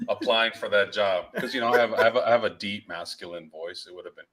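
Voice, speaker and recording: A man co-hosting a sports stream in a low voice with a bright, forceful edge. He banters in a laid-back way and pokes fun at himself. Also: fast. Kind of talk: casual live-stream sports chat.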